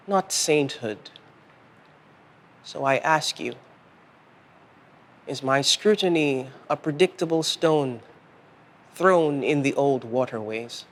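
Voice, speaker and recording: A man reading poetry in a deliberate, solemn manner, pausing between short phrases. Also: fairly high pitch. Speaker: poet reading own work. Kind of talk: measured literary poetry reading.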